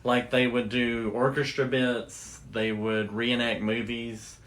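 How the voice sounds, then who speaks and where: A man with a mid-pitched voice hosts a casual conversation. He explains his subject with enthusiasm, speaking informally as if to a friend across from him. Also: level tone, slow, fairly bright tone. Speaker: podcast host. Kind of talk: casual chat about radio history.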